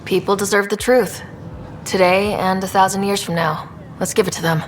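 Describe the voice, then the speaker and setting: An actress playing a young, steady, principled space explorer in video game dialogue. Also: female voice, medium pitch, normal speed, lightly acted. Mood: resolute. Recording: long reverb with background noise.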